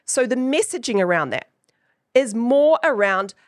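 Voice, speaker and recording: A woman's voice: a little high, lively intonation, measured pace, no echo, clean studio sound. A woman with a New Zealand accent hosting her own podcast, teaching in a chatty way and often checking in with "right?". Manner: chatty, keeps asking "right?". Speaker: podcast host.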